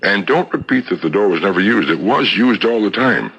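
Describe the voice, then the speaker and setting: An actor playing a shrewd, relentless police detective who methodically lays out accusations in a 1970s radio mystery, on an old broadcast recording. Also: male voice, on the low side, animated, brisk, a bit bright, breathy edge, exaggerated voice. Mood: triumphant, insistent. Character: shrewd, relentless male police detective laying out a murder case.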